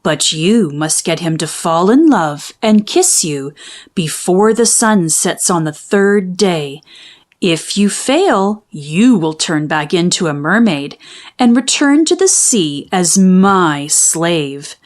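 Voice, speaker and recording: A woman voicing an evil, scheming sea witch in a storybook, bargaining in a slow, menacing manner. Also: lowish voice, lively intonation, voice pushed down.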